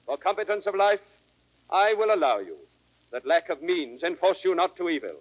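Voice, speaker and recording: Stern young monarch, high-pitched, measured, cold and formal, vintage radio Shakespeare declamation. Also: male voice, lively intonation, low-quality recording. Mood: stern, commanding. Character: newly crowned young king, stern and cold. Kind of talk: 1930s radio Shakespeare acting.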